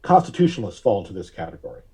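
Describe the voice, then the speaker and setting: A man giving measured, carefully worded explanations as a podcast guest. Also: deep voice, sing-song, a little mellow, husky. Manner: measured, deliberate, precise wording. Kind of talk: explanatory interview talk.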